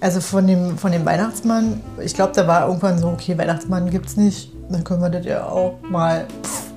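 A woman's voice: on the low side, fairly even intonation, brisk. Casual woman chatting over music. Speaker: conversation partner in a private audio piece. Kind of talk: casual, spontaneous chat.

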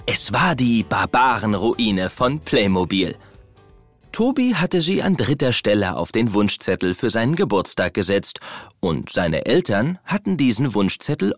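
A man narrating a children's audio play in an expressive storytelling manner. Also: fairly low pitch, no echo, clean studio sound.

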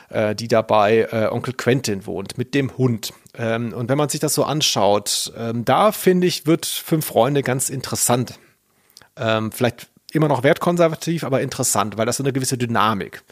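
A man's voice: mid-range voice, no echo, clean studio sound. A man with speech hosting a podcast, chatting casually in a rambling, self-interrupting way. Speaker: podcast host. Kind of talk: casual podcast chat about children's audio dramas.

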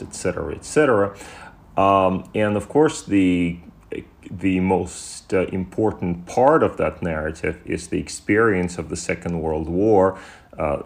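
A man from Russia talks as a historian being interviewed. His voice is very low with a gravelly creak, and he feels his way through sentences, repeating words as he goes. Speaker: guest historian and political commentator. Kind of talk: conversational expert interview.

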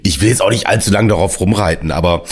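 A podcast host with a very deep voice. He talks in a chatty, friendly way and jokes with his guest. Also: fast, breathy edge. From northern Germany. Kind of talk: casual podcast hosting.

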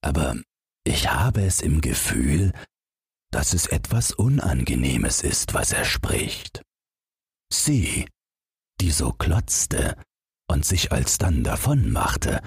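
A man's voice: a bass voice, vocal fry, breathy edge, no echo, studio quality, exaggerated voice. A man voicing a naive, self-important snowman who muses stubbornly in a storybook tale. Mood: uneasy. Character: naive newborn snowman, proud, puzzled and stubborn.

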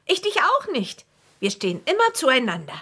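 An actress playing an affectionate, loyal teenage girl in a children's audio drama, earnest and expressive. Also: high-pitched, moderate pace, husky, full character voice. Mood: tender, sincere.

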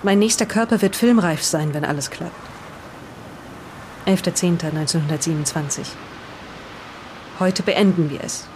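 A woman's voice: lowish voice, normal speed, pauses often, close to an everyday voice. A woman playing an uneasy 1920s witness to occult events in an audio drama, with faint noise behind. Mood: solemn, ominous. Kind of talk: in-character audio drama retelling.

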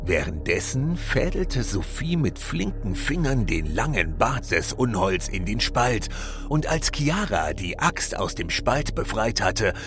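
Deep, slow, lively male storyteller. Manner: theatrical, measured storytelling. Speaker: narrator. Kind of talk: scripted fairy-tale narration. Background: music behind the voice.

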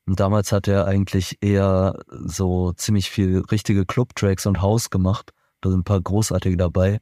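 Low, flat-voiced German man. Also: normal speed, dry recording, clean studio sound. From Germany, Berlin. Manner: thoughtful, rambling with many fillers and restarts. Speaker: band member, musician guest. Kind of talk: casual interview answers. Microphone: remote podcast recording.

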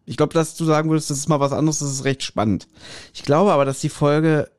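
Male podcast co-host, fairly high register, informal and joking chat, very dry room sound. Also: fairly even intonation, quick pace, no echo. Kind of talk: casual chat, reviewing an audio drama episode.

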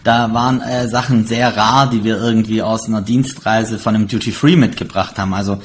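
A man's voice: on the low side, fairly even intonation, a little fast, a little hiss or hum. A man in his late thirties chatting casually about his own experiences. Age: late 30s. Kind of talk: casual chat.

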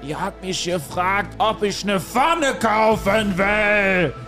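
A man's voice: high-pitched, slow pace, pushed and bright, soft background music, full character voice. A man voicing a creepy old man who rambles indignantly through horror anecdotes in an improvised comedy audio play. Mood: indignant, rambling.

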